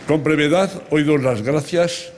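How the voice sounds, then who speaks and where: A man in his seventies from Extremadura with an accent from Spain and a husky voice, giving an emphatic, rhetorical ceremonial speech at a lectern. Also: fairly high pitch, measured pace, darkish tone, slight background noise. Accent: Spanish from Spain. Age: 70s. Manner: emphatic, rhetorical, addressing listeners directly. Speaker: award recipient giving a speech. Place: large open-air ancient theatre stage at night, before a big audience. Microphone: lectern microphones. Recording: amplified outdoor speech with crowd sounds.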